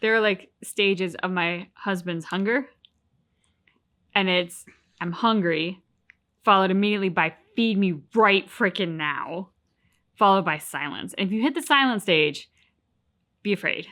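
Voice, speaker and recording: Female co-host, crisp bright edge, average pitch, informal reactions with wry humor. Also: a little slow, pauses often. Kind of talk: casual reaction chat.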